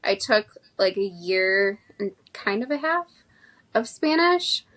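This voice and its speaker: A woman co-hosting a casual chat show, friendly and relaxed. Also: mid-range voice, takes it slowly. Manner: relaxed, friendly, laughs often. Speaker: podcast co-host.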